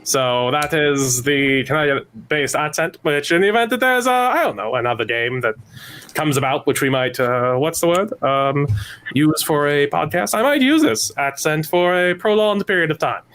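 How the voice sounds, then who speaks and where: A man voicing a mock Mid-Atlantic accent, with a formal, drawn-out manner, recorded over a video call. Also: fairly high pitch, lively intonation, fairly bright tone, clear, resonant tone. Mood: showy. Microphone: remote mic over a video call.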